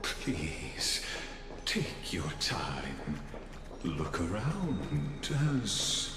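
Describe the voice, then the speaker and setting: A very deep enigmatic, knowing guide in a fantasy game. He declaims with courtly grandeur at an extremely slow pace, his pitch swelling and falling. The voice rings in a long reverb. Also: very animated, exaggerated voice. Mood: suave, enigmatic. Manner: grandiloquent, dramatic, deliberate phrasing. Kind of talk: theatrical scripted game dialogue, reciting verse. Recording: echoey long reverb over music.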